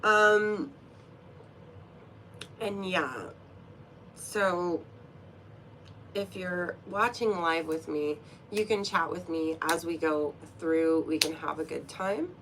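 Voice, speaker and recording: A woman talks unhurriedly and a bit low, nasal and sniffly from a cold. She chats to her live viewers in a playful, giggly way. A faint hum of room noise sits behind her. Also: slow, long pauses, a bit bright, poor audio. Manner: chatty, playful, giggly asides. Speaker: tarot reader and streamer. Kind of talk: casual livestream chat. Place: room at home.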